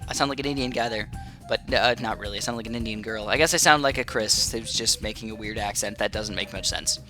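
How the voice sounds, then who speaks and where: Quick, chatty man over game music. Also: lowish voice, sharp tone. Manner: rambling and chatty, many fillers and restarts. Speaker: gaming channel host. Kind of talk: casual live gameplay commentary. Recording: game music playing under the voice.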